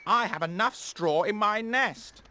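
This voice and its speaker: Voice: medium pitch, a little slow, pushed and bright, voice pushed up. A storyteller voicing a cautious, sensible male crow in a children's story. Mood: wary.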